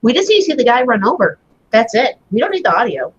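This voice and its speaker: A woman co-hosting a stream, making quick blunt interjections and relaying the chat. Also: on the high side, very animated, slightly rough. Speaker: stream co-host. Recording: dull lo-fi call audio.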